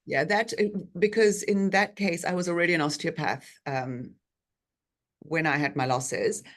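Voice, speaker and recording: A woman in her forties from South Africa, an osteopath giving a chatty online talk with frequent ums, on a video call from a bright treatment room. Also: lowish voice, steady tone, unhurried. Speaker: osteopath guest speaker.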